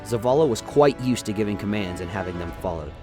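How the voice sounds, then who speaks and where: A man's voice: fairly low pitch, expressive, fast, darkish tone, soft background music. A man narrating a science-fiction radio play in a dramatic, scripted storytelling style. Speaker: narrator, lore reader.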